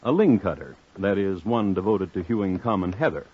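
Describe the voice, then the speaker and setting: An actor narrating a 1950s radio crime drama as a dry-witted, deadpan storyteller with formal, measured phrasing. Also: male voice, low voice, husky. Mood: droll, measured. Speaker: host and narrator. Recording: dull old radio recording. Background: faint music.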